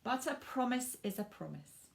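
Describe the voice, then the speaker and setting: A woman reading a picture book aloud to children in an expressive way, stressing words for drama and speaking directly to young listeners. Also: fairly high pitch, vocal fry. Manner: animated, dramatic emphasis with drawn-out words and hushed asides.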